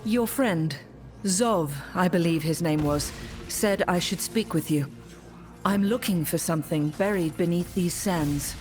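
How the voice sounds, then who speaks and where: An actress playing a composed, dry female necromancer adventurer in a video game, measured and serious. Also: lowish voice, darkish tone, close to an everyday voice. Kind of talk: video game character acting.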